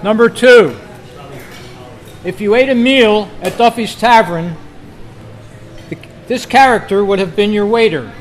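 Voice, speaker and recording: A man hosting a quiz, reading trivia questions aloud to a group in a deliberate, phrase-by-phrase way at a club meeting. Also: high voice. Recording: noisy live meeting room recording.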